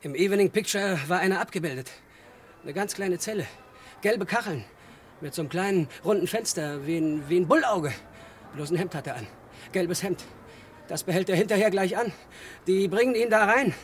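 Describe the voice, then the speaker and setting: A man's voice: high voice, moderate pace, natural voice. A man playing a troubled, stubborn young man in a 1960s German radio thriller, insistent and brooding in simple phrases. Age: young adult. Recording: 1963 radio recording, faint noise.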